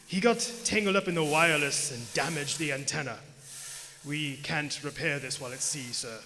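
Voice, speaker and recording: Male actor as matter-of-fact submariner, respectful, hesitant, radio play, echoey hall, clear background hiss. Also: a little high, normal speed, sharp tone. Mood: dutiful. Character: matter-of-fact male submarine crew member reporting to superior. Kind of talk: live radio theater acting. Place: on stage in a large theater. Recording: echoing theater hall with hiss.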